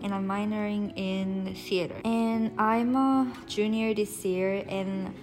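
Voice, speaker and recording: A woman's voice: mid-range voice, fairly even intonation, slow. A woman in her twenties with a slight Australian accent, answering interview questions in English that is not her first language, with many "um"s and "like"s. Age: 20s. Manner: chatty, many fillers like "um" and "like". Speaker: interviewee, university student.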